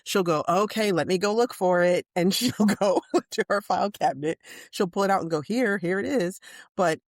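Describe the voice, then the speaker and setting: A woman co-hosting a casual podcast conversation, talking in a calm, measured way. She laughs loudly and freely at times. Her voice sounds dry and close to the mic, with a mid-range pitch. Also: no room sound. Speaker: podcast co-host. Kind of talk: casual chat.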